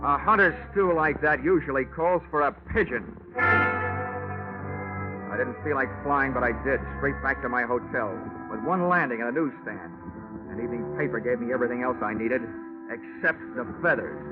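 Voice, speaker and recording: An actor playing a wisecracking American insurance investigator, sardonic and clipped, in 1940s radio drama style. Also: male voice, medium pitch, normal speed, loud music behind the voice, natural voice. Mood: wry narrating. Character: wisecracking, hard-boiled male insurance investigator. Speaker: lead actor and narrator in a radio detective serial. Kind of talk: 1940s American radio drama acting, hard-boiled narration. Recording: muffled, narrow-band old radio recording.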